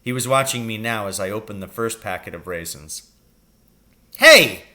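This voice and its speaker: A man reading a children's novel aloud as an expressive storybook narrator. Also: on the low side, brisk.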